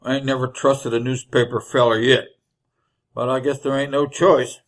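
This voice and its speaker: A man's voice: medium pitch, level tone, moderate pace, natural voice. A man playing a greedy, scheming frontier outlaw with rustic, folksy, drawling speech in an old-time radio western. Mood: sly, wary. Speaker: actor in supporting role.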